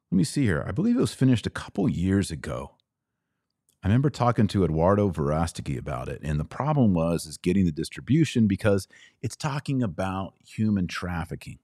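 A man's voice: sits low, dark tone, no echo, clean studio sound. An American man hosting a live-streamed podcast, giving conversational opinion commentary on the news with short, emphatic phrasing. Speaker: podcast host. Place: studio.

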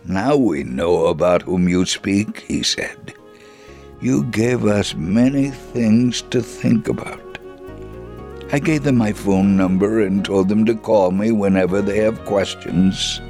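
A man's voice: fairly low pitch, slow, a little mellow, faint music behind. A man reading a first-person story in a dramatic, scripted storytelling style, acting out the dialogue expressively. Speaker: narrator, reader of a radio story segment.

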